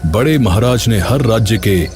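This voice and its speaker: A man as a deep, slow royal kitchen overseer. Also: expressive, darkish tone, soft background music. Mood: confiding. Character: stern but kindly senior royal kitchen overseer, a man. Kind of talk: Hindi animated story dialogue.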